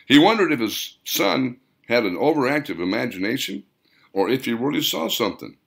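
A man reading an audiobook story aloud in a measured, dramatic storytelling manner. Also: mid-range voice, very animated, gravelly, husky. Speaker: author reading own audiobook. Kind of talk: scripted audiobook narration.

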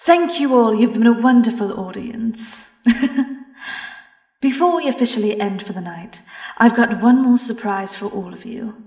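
A woman's voice: fairly high pitch, moderate pace, darkish tone, natural voice. A British woman playing a vengeful, silky vampire in an audio horror roleplay, theatrical and taunting, slipping into whispers. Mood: gloating showmanship. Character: vengeful female vampire singer, silky, mocking, gloating predator. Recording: roomy, distant, music behind.

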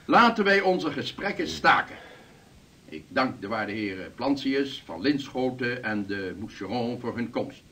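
A man's voice: medium pitch, lively intonation, unhurried, bright, forceful tone. An actor in a Dutch radio drama playing a dignified, authoritative chairman with a formal, stately delivery. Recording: distant from the microphone.

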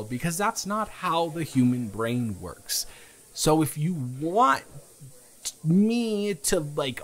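A young man improvising a first-person date roleplay, teasing and rambling with frequent restarts, recorded close on a home studio mic. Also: medium pitch. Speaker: audio roleplay performer.

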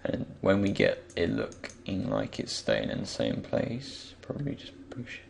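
A young man with a croaky voice, just woken up, thinking aloud with many pauses as he talks through a software tutorial. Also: sits low, huge pitch swings, noisy background. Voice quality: croaky, gravelly. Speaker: tutorial creator. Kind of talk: screen-recorded software tutorial.

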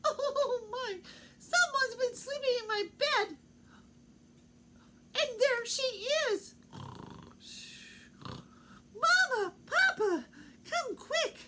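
A tearful baby bear. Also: female voice, animated, drawn-out words, long pauses, heavy background noise, exaggerated voice. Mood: alarmed. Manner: squeaky, wailing.

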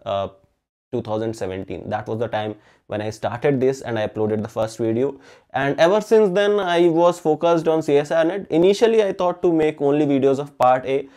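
A man's voice: medium pitch, mellow. A young man whose first language is Hindi, talking earnestly to camera as a chemistry channel host and often checking in with "okay?". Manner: earnest, frequent "okay?" tags and fillers. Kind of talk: casual talk to camera. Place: indoor room with balloons. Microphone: facing camera at mid framing.

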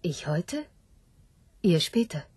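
A woman voicing a hopeful, philosophical sow in a literary radio play, speaking in a measured, breathy, husky voice. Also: fairly low pitch, very animated, noisy background. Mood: solemn, resigned. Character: hopeful philosophical sow awaiting her disappearance. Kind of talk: literary radio drama acting.

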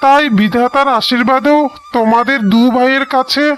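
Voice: high-pitched, fairly even intonation, moderate pace, fairly bright tone, a little hiss or hum. An actor voicing a devoted, sentimental parent of two grown daughters in a cartoon fairy tale, earnest and emphatic. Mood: happy. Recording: music bed behind the voice.

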